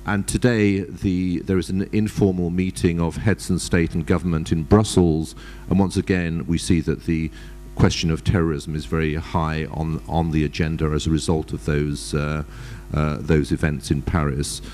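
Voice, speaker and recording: A man speaking as a panelist at a conference, in a measured, formal way with many hesitations. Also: a bass voice, little pitch movement, a little mellow, slight background noise. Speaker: panelist, ambassador.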